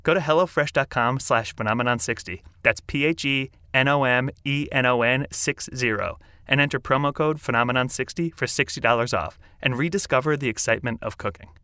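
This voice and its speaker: A man's voice: mid-range voice, brisk, pushed and bright, clean tone, no room sound, studio quality. A man reading a scripted podcast sponsor advertisement.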